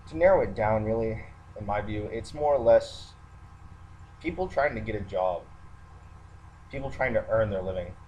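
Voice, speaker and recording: A man's voice: fairly low pitch, normal speed, pauses often, mellow, dull audio. A man giving an unscripted, matter-of-fact monologue, hesitating as he thinks aloud. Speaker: online video ranter who works in the military. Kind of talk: unscripted monologue rant to listeners. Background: background noise, roommate nearby.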